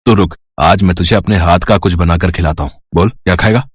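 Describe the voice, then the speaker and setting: A man voicing a poor, humble village husband, worried yet reassuring, in an animated storybook tale. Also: low voice, brisk, breathy edge, dry recording, clean studio sound, voice pushed down. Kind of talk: animated storybook cartoon dialogue.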